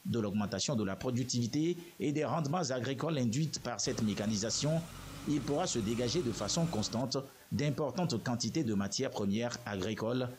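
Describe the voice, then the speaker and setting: Guy reading a formal script, medium pitch, bit of background noise. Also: expressive, fairly quick.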